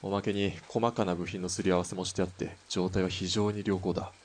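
A man playing a terse, cool sniper in a Japanese audio drama, deadpan and unhurried when talking shop. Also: deep voice. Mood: cool, absorbed.

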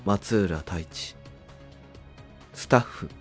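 A man's voice: low voice, drawn-out words, soft background music. A man reading scripted credits as the narrator of an audio drama.

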